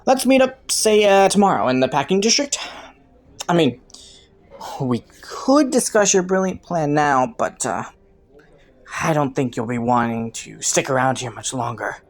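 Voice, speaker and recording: Low-voiced, flirty, smug criminal informant played by a woman. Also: animated. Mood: smug teasing. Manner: teasing, playful, drawn-out words with chuckles and sighs. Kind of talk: scripted roleplay audio, intimate second-person monologue.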